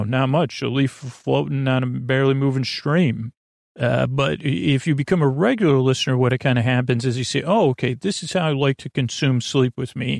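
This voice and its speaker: A man delivers a rambling bedtime monologue as a podcast host. His voice is middling in pitch with heavy creak, recorded very dry. He meanders, hesitates and drifts into digressions, often leaving thoughts unfinished. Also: no echo. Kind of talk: rambling bedtime monologue meant to lull listeners to sleep.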